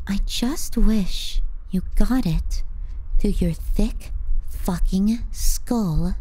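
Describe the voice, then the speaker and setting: A woman playing a possessive, menacing younger sister in an intimate ASMR roleplay, soft and breathy, often near a whisper. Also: mid-range voice, poor audio. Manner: slow, tender yet threatening. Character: possessive, menacing little sister murmuring to sleeping brother.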